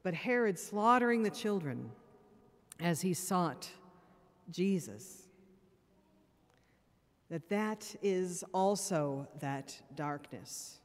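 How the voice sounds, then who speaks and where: Woman, priest, sermon, slow unhurried delivery, earnest with wry asides, a bit tired, long church reverb. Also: on the low side, expressive. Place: church sanctuary, at a pulpit. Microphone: pulpit microphone.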